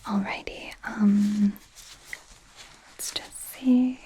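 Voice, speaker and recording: A woman voicing an awkward, gentle werewolf doctor in a hesitant, breathy whisper for an ASMR roleplay. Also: mid-range voice, steady tone, full character voice. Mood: gently clinical. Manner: hesitant, halting, softly reassuring. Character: awkward, curious young female werewolf doctor, gentle and apologetic. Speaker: ASMR performer.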